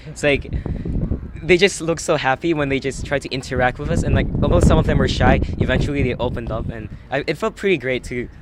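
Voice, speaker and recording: A young man from Malaysia chatting casually as a student interviewed outdoors. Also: mid-range voice. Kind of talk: casual chat. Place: outdoors in a city. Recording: noisy outdoor street ambience.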